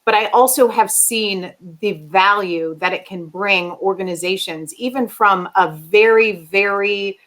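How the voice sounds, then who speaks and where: A woman with a medium-pitched voice speaks on a panel. She talks personally and conversationally, dropping in "you know" and checking her points with "right?". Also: fairly bright tone, rough recording. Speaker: conference panelist. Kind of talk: personal panel talk on diversity and business.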